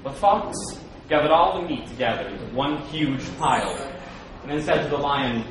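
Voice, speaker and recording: A man tells stories in a dramatic, very animated read-aloud style. His voice is slightly high. The recording is strongly echoey and distant, with clear noise behind him. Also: normal speed. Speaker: reader at a public read-aloud. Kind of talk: dramatic scripted storytelling. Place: live reading event before an audience. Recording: very echoey live room, distant, noisy.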